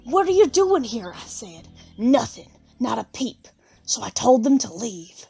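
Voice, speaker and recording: A woman's voice: a little high, big pitch swings, a little slow, gravelly, dark tone, faint music behind, exaggerated voice. A woman playing a poor, plain-spoken country widow, bitter and unsentimental, in an audio drama. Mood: agitated.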